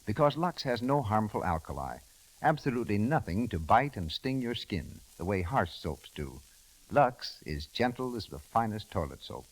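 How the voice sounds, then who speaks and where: A man's voice: fairly low pitch, very animated, moderate pace, poor audio. A man announcing and reading a scripted advertisement in 1930s radio style.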